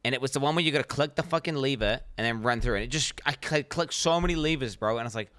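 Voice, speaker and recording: NZ-accented male streamer, profane and rambling, crisp clear tone. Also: medium pitch, fast, fairly bright tone, dry recording, studio quality. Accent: New Zealand. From New Zealand. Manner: blunt, profane, rambling with many restarts. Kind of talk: casual reaction stream commentary.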